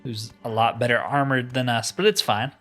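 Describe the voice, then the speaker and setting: A man's voice: medium pitch, steady tone, moderate pace, a bit bright, soft background music. A man giving casual, informal let's play commentary on a roleplaying video game, thinking aloud as he plays.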